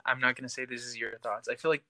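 Bright-voiced young man giving his opinion. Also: mid-range voice, fairly even intonation, quick pace. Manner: opinionated, explaining his view point by point. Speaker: podcast co-host. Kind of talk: casual panel chat about TV.

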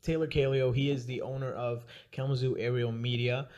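A man's voice: mid-range voice, flat delivery, fairly quick, darkish tone, lo-fi sound. A young adult man hosting a podcast in casual interview chat. He laughs often and talks loosely, with restarts and asides. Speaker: podcast host.

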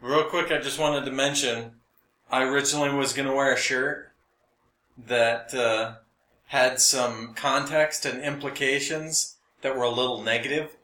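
A man's voice: medium pitch, steady tone, measured pace, sharp tone. An American man co-hosting a podcast, chatting casually with wry, sarcastic exaggeration. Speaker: podcast co-host. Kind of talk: casual humorous chat. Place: echoey room, recorded for a podcast and live stream. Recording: echoey, roomy sound.

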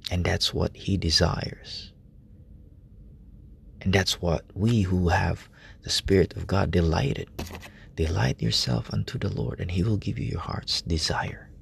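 A man preaching softly at night in a relative's room, speaking in a hushed, halting way with many fillers. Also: low-pitched, steady tone, creaky, slightly rough. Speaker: lay preacher. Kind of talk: informal late-night sermon.

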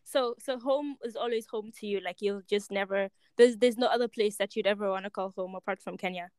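A woman hosting a podcast in casual, excitable chat, with informal, slangy phrasing. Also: medium pitch, a little fast, clean tone, dry recording. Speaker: podcast host.

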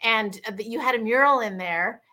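A woman's voice: on the high side, a little fast, bright, forceful tone. A woman hosting a casual interview, enthusiastic and chatty, with many fillers and restarts. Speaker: host.

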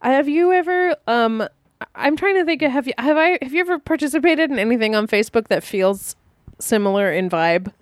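A woman co-hosting a casual podcast chat, lively and quick to laugh and chime in. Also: sits high, no echo, clean studio sound. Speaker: podcast co-host.

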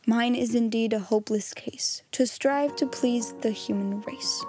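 A woman's voice: on the high side, a little slow, a little mellow, soft background music, close to an everyday voice. A woman voicing the aggrieved, self-pitying mercury of a thermometer in comic verse, plaintive and dramatic. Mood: despairing.

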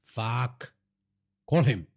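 A man voicing a hot-tempered older Indian crime boss father in an audiobook, gruff, coarse and domineering. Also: high voice, lively intonation, measured pace, pitched above the performer's own voice. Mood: alarmed, angry. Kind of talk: audiobook character dialogue.

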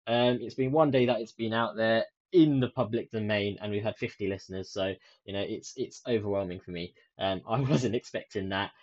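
A young man from the UK hosting his own podcast in a soft, soothing voice, rambling with many ums and restarts. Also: fairly low pitch, fairly quick. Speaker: podcast host. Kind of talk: casual solo podcast chat. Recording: dull lo-fi sound.

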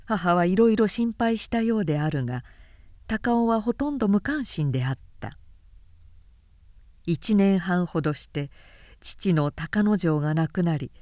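A woman reading a literary story aloud as narrator, in measured scripted storytelling. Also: medium pitch, dark tone, no echo, clean studio sound.

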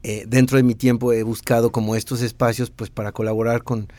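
A man's voice: mid-range voice, little pitch movement, fairly quick, mellow. A Mexican man in his thirties with a Mexican accent, a designer and teacher chatting casually in an interview, rambling with many fillers and restarts.

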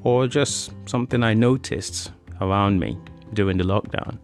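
A man's voice: lowish voice, normal speed, soft background music. A man hosting a podcast in an informal, chatty, conversational way. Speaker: podcast host.

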